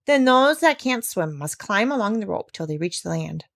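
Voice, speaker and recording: A woman voicing a shrewd, bossy old female parrot who gives brisk orders in a storybook. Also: medium pitch, sing-song, gravelly. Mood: commanding. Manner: brisk and commanding.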